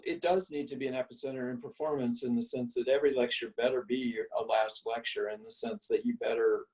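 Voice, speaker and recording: Mellow professor guy thinking out loud on a video call, gravelly, a bit far off the mic. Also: medium pitch. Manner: mellow, musing, many restarts and fillers. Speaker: art history professor. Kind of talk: reflective conversational answers. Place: room at home.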